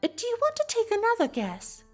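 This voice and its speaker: A woman's voice: very high-pitched, wildly swooping pitch, soft background music, full character voice. A woman voicing an elegant, teasing cartoon unicorn socialite in a fan fiction audio drama, with an affected, genteel, gossipy delivery. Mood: knowing, teasing. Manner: affected, genteel, theatrical delivery with drawn-out words.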